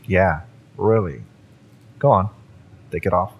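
A man's voice: low voice, takes it slowly, breathy edge, dull audio, exaggerated voice. A man playing a cocky, fiery young cyberpunk mercenary in an audio roleplay, smug and menacing as he taunts. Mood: impatient, mocking. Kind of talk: dramatic audio roleplay, intimate villain-romance acting.

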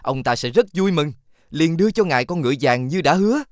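A man voicing a clever, helpful talking fox in an animated fairy tale, coaxing and explaining. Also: on the high side, brisk, no echo, studio quality, close to an everyday voice. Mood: scheming, reassuring.

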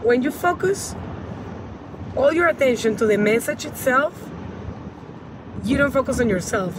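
A woman in her thirties with a Spanish accent gives casual advice to the camera as a coach, repeating phrases for emphasis. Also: on the high side. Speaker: women's empowerment coach giving tips. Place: inside a car. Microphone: phone at arm's length. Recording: loud car and road noise.

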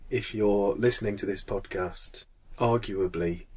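A man's voice: fairly low pitch, unhurried, breathy edge. A man in his thirties with a Mancunian accent, hosting a casual podcast chat. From Manchester, England. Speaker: podcast host.

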